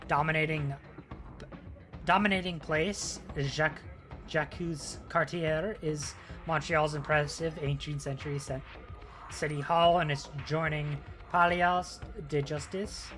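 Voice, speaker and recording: A man's voice: a little high, steady tone, unhurried, fairly bright tone, faint music behind. A young man chatting casually and swearing freely, then reading a game sourcebook aloud with small stumbles. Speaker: podcast co-host.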